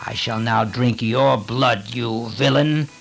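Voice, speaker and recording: A man playing a bloodthirsty giant demon, voice dropped low and distant in tone, taunting and threatening. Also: steady tone, slow pace, gravelly, music in the background. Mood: taunting, menacing. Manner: taunting, menacing. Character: huge man-eating male demon, bloodthirsty, mocking.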